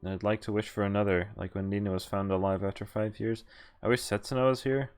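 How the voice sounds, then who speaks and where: A man's voice: lowish voice, steady tone, fast talker, clean tone. A man streaming a visual novel, reading the text aloud and breaking off into casual asides. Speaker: game streamer.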